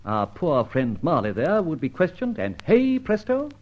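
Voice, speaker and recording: An actor playing a suave, witty British gentleman outlaw in an old radio detective drama, wordy and mock-courteous. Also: fairly high pitch, wildly swooping pitch, a little slow, lo-fi sound. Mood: brisk, assured.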